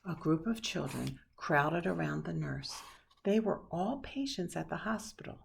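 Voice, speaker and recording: A woman reading a children's picture book aloud expressively, with dramatic pauses and hushed asides. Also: low-pitched, lively intonation, vocal fry, slight background noise. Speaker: storybook reader.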